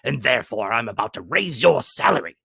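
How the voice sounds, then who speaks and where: A man voicing an elderly miser turned joyful and generous, in an eager, excited manner. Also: mid-range voice, a little fast, vocal fry, slightly rough, dry recording, clean studio sound, exaggerated voice. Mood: jovial.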